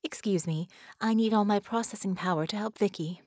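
A woman voicing a serene, polite artificial intelligence, measured and formal, in an audiobook. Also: mid-range voice, a little mellow, dry recording, studio quality, natural voice.

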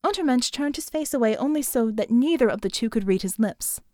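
A woman's voice: a little high, animated, fairly quick, darkish tone, no echo, studio quality. A woman reading scripted fiction as an audiobook narrator.

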